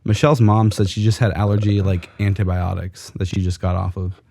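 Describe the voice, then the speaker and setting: A man's voice: low-pitched, little pitch movement, brisk, creaky, dark tone. A young man co-hosting a podcast in laid-back casual chat, congested and struggling to breathe, mumbling and trailing off. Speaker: podcast co-host.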